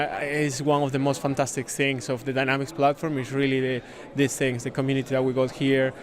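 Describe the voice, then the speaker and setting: A man from Spain with a Spanish accent, a native Spanish speaker, explaining a product in a casual interview with halting, repeated words. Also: mid-range voice. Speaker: software consultant demonstrating a product, interviewee. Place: busy conference venue.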